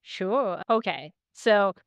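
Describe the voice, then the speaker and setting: A woman's voice: medium pitch, expressive, slow pace, smooth, no room sound, muffled recording. A woman from Sri Lanka being interviewed in her design studio, talking in a reflective way with many "like" fillers. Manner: thoughtful, reflective, with frequent "like" fillers. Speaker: guest, studio founder. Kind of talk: casual interview talk.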